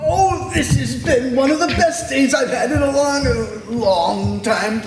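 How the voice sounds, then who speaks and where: A performer in amateur readers theater playing a meek, hesitant servant of a king, halting and deferential. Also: sits high, music in the background. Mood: contented. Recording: echoey hall, clear background noise.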